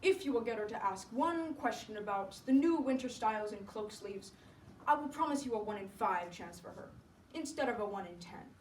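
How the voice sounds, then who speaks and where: A woman plays a gruff, matter-of-fact older male doctor in a dramatic story recital. He speaks bluntly and with measured pomposity. The recording is roomy and distant, with some noise. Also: medium pitch, animated, lightly acted. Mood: grave, measured. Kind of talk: solo dramatic storytelling performance. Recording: echoey room, distant mic, background noise.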